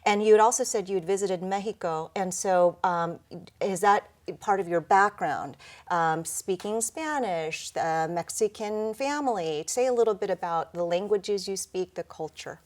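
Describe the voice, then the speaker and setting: A woman interviewing a guest, speaking in a measured, affirming way and echoing the guest's words back to her. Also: mid-range voice, darkish tone. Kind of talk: interview questions and feedback.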